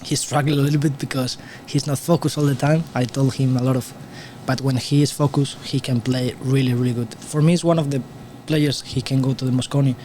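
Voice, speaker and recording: A man from Spain with a Spanish accent, a pool player commenting on a match as a guest in halting English. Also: medium pitch, fairly even intonation, mellow, a little hiss or hum. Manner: halting non-native English with restarts. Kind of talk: casual live commentary.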